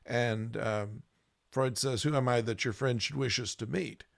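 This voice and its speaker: Gravelly man reading aloud in chat. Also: medium pitch, no room sound, studio quality. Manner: halting, with many fillers and restarts. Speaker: podcast host.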